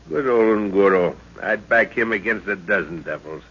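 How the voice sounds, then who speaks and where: An actor playing a middle-aged professor and explorer, a gruff, impatient and excitable father figure in an old radio serial. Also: on the low side, measured pace. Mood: excited wonder. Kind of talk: 1940s radio serial acting. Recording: muffled, lo-fi old radio recording.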